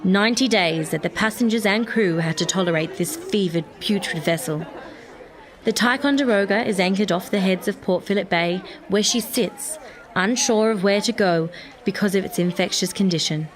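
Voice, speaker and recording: A woman's voice: mid-range voice, normal speed, crowd noise, lightly acted. A woman playing a time-travelling reporter who speaks in a reportorial, on-the-scene manner in a radio play.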